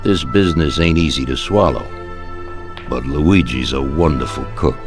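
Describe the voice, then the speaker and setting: An extremely deep-voiced older crime boss for a video game. He speaks with measured authority and quiet menace, as someone used to being obeyed. Soft music plays behind him. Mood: stern, commanding. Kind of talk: video game cutscene acting.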